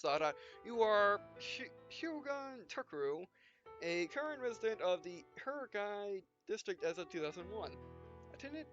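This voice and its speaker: A man doing a casual, halting read-aloud of a visual novel for a gameplay video, speaking punctuation and speaker tags as he goes. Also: high voice, fairly bright tone. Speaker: let's player reading visual novel text aloud. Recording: dull, lo-fi sound with music behind. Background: game music.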